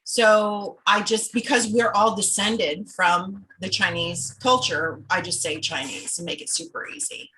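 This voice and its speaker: A woman's voice: medium pitch, steady tone, a little slow, hardly any pauses, bright, forceful tone, a little hiss or hum, rough recording. A woman born in Los Angeles, a guest on a podcast over a video call, talking candidly and explaining things patiently. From United States, born in Los Angeles. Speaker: interview guest, business founder. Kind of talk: casual interview chat.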